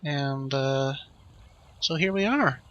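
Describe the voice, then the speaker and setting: A man hosting a let's play with casual, improvised commentary, rambling and thinking out loud as he goes. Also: medium pitch, expressive, slow, highs cut off, a little hiss or hum.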